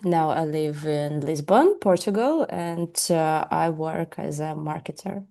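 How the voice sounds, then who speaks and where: Woman, russian accent, halting, playful, video call. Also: deep voice, vocal fry, dark tone. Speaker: marketer, club student. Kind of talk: casual chat.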